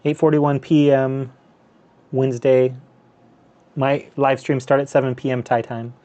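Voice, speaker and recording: A man's voice: mid-range voice, little pitch movement, unhurried, mellow, muffled recording. A man from the US and Canada, hosting a casual live gaming stream in a loose, rambling way with many fillers and restarts. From North America, US and Canada. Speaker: handheld gaming streamer, host. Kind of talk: casual live stream chat over gameplay.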